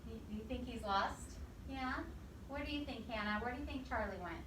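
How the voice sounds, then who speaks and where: A woman with a highish voice reads a picture story aloud to young children. She is expressive and stops to put simple questions to them. The sound is echoey and picked up from a distance, with clear noise behind her. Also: fairly quick.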